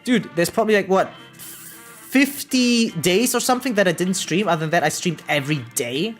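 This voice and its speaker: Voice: medium pitch, moderate pace. A young adult streamer talks casually with live chat in a mid-range voice over background music. The delivery rambles, doubling back on words, with loose swearing and a self-deprecating streak. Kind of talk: casual chat with viewers, reading out and answering comments.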